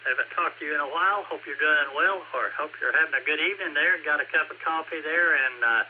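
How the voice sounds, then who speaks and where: A man from Oklahoma hosting a ham radio net as net control, friendly and relaxed, with lots of uhs. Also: on the high side, fast talker. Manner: friendly and relaxed, with many fillers. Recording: tinny radio transmission with faint hiss.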